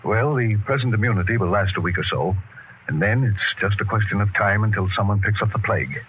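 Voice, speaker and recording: A dull, noisy old radio recording. A man portrays a guilt-ridden ship's surgeon in a 1950s sci-fi drama. The very deep voice is hesitant, nervous and apologetic. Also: fairly quick, natural voice. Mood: nervous, evasive. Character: guilty, nervous male expedition surgeon with failing eyesight.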